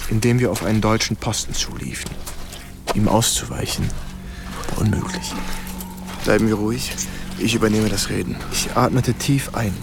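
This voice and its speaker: A man's voice: fairly low pitch, close to an everyday voice. A man acting as a young, dutiful, uneasy US army lieutenant who narrates a war radio play in German. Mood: earnest. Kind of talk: radio drama narration and dialogue. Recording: music under the voice. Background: dramatic music.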